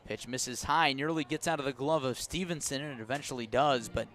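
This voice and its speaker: An American man doing live radio baseball play-by-play from a ballpark, slipping between pitch calls and conversational asides. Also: brisk, fairly bright tone, soft background music. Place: outdoors at a ballpark.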